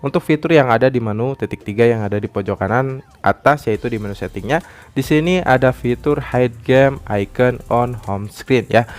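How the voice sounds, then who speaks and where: A man's voice: mid-range voice, moderate pace. A man hosting a phone app tutorial, explaining step by step in repetitive phrasing. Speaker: tutorial channel host. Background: background music.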